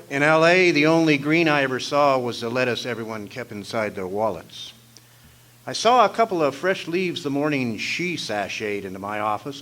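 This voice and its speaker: An actor playing a wry, deadpan hardboiled private detective, narrating and trading lines in an old-time radio noir style. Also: male voice, medium pitch, close to an everyday voice. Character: wisecracking hardboiled 1940s private detective, narrating his own case. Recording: faintly noisy live stage recording.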